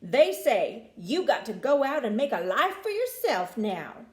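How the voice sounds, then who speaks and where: A woman acts a folksy storybook crow, blunt and drawling. The voice is high and swoops around in an echoey room. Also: very animated, unhurried. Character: crow bearing bad news, blunt and folksy.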